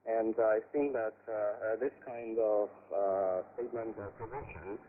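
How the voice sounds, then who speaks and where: A man speaking as an academic guest in a radio discussion, giving measured, halting analysis over a shortwave broadcast. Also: lowish voice, steady tone, narrow, tinny audio, a little hiss or hum.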